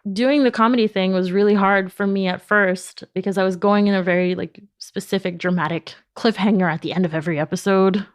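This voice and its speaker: A woman's voice: mid-range voice, fairly even intonation, brisk, few pauses, no echo, clean studio sound. A woman speaking casually as an interview guest, explaining things informally and sprinkling in "like". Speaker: podcast company founder, guest.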